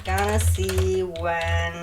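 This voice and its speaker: A woman's voice: lowish voice, flat delivery, extremely slow, music in the background. A young woman with an Italian accent, chatting casually and rambling through an introduction to her channel, with many fillers and restarts. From Italy. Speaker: writer and streamer.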